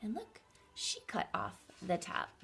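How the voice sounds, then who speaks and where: Animated, slow-paced woman reading a storybook. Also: on the low side, vocal fry, husky. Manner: expressive, engages young listeners with questions. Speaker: children's story reader. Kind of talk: animated picture-book read-aloud.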